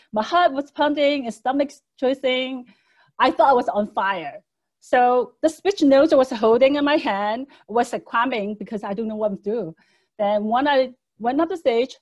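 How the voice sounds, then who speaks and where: A fairly high-voiced woman whose first language is Cantonese talks through a slideshow lesson on a webcam mic. Her phrasing is earnest and conversational, with small slips and fillers. She speaks from a room at home. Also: a little mellow. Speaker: public speaking instructor. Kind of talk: webinar presentation over slides. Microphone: webcam microphone on video call.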